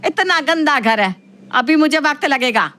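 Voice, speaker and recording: A woman's voice: high voice, lively intonation, a little slow, bright, forceful tone, heavily acted. A woman playing a fussy, middle-aged mother-in-law in an audio story, obsessed with cleaning and prone to nagging. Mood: scolding, irritated. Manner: scolding at family, falsely polite to guests. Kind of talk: Hindi audio story acting.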